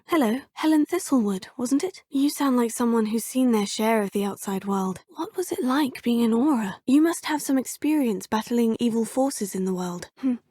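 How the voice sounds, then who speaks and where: A courteous young witch student speaks with formal, polished phrasing. Her voice sits a little high and carries a soft, breathy edge. The close studio recording is dry, with no room sound. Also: no echo. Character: young female witch student, curious and polite. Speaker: player character. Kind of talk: video game voice clips. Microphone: close studio mic.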